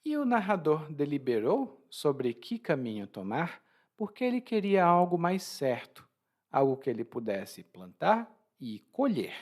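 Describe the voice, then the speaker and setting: Lively-voiced male teacher. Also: fairly high pitch, unhurried. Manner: explanatory, frequent restarts and laughs. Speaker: Portuguese teacher. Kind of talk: language lesson explaining expressions.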